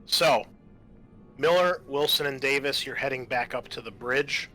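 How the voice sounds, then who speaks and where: A man's voice: mid-range voice, a little slow, bright, forceful tone, faint music behind. A man acting as game master, narrating a tabletop role-playing game in an informal way.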